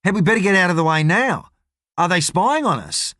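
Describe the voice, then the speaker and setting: A man performs a young Australian man from an audiobook. He sounds cheery and chatty, with a high, animated delivery in a dry recording. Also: brisk, no room sound. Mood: cheerful. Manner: cheerful, talkative. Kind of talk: audiobook character voice.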